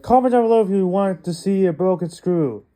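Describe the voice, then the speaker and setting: A male vlogger unboxing a toy, rambling and excitable, talking to himself as he goes. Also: high-pitched, a little fast. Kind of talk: casual unboxing commentary.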